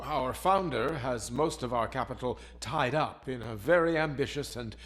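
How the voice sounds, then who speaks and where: An actor playing a fawning, fearful older bank manager in a video game, fussy and pompous in manner. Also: male voice, medium pitch, normal speed, fairly bright tone, big-room sound, close to an everyday voice. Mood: cowed, prim. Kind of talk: video game cutscene acting.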